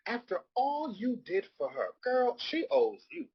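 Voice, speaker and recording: Casting: male actor as sassy, gossipy drag queen, theatrical drawn-out lines, lively swoops, slightly raspy, dull recording. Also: extremely high pitch, heavily acted. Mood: flirty, gossipy. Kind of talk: comedic live table read. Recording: dull lo-fi audio.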